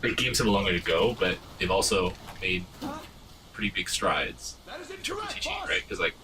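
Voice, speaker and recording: A man's voice: low-pitched, wildly swooping pitch, moderate pace. A man in casual chat, an agreeable and curious listener commenting as he watches. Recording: off-mic, chatter and game sound behind.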